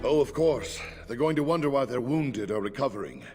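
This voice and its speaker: A man's voice: mid-range voice, lively intonation, fairly quick, a little mellow, soft background music, close to an everyday voice. An actor voicing an earnest, grateful man in a fantasy game, sincere and full of wonder. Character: earnest, grateful man freed from a werewolf curse. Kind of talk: fantasy video game acting.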